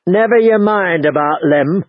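A man's voice: sits high, unhurried, no echo, dull audio, voice pushed up. A man voicing a bossy stern innkeeper woman. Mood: commanding. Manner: commanding, blunt.

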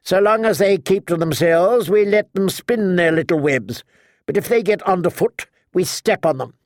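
A man voicing a sharp, imperious old noblewoman in an audiobook, blunt, commanding and mocking. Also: high voice, moderate pace, no room sound, studio quality, pitched above the performer's own voice, lightly acted. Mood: wry, confiding. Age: older.

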